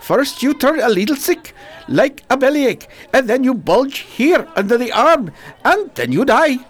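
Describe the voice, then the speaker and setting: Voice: unhurried, full character voice. An actor playing a boastful male bazaar shopkeeper who speaks broken English, in an amateur radio drama. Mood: grave. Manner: broken English, pushy and eager, lively pitch.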